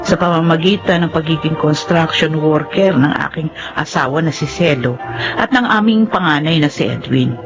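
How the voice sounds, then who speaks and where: A woman's voice: low-pitched, moderate pace, music in the background. A woman playing a practical, burdened middle-aged mother in a Filipino radio drama, with a Filipino accent. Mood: weary, sorrowful.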